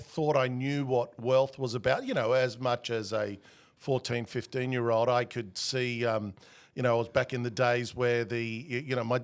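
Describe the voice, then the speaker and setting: A man in his forties, born in Argentina and raised in Australia, telling his story as a podcast guest in a relaxed, self-deprecating way with many fillers. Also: mid-range voice. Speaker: guest, executive coach. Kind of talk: casual interview talk.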